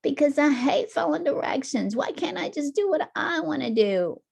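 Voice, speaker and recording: High, defiant schoolboy played by a woman. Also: expressive, moderate pace, vocal fry, a little mellow, exaggerated voice. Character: defiant, sulky schoolboy who hates following rules. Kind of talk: picture book character voice.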